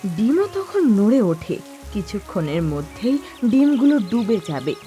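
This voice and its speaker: A woman narrating an animated fable for children as a scripted storyteller. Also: medium pitch, measured pace, soft background music.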